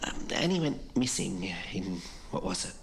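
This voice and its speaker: A woman playing a frank, irreverent woman, reminiscing haltingly in a podcast interview. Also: very deep voice, very animated, exaggerated voice. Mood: hesitant, sombre. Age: 90s. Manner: blunt, hesitant recollection with frequent pauses. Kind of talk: interview-style podcast drama. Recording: clearly noisy interview recording.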